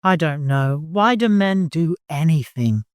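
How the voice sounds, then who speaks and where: A narrator acts a strong, defiant woman in an audiobook. She speaks bluntly and forcefully, with a fierce temper. The sound is dry and close. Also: medium pitch, expressive, a little slow, no room sound, voice pushed up, natural voice. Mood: grim, resolute. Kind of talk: audiobook character acting.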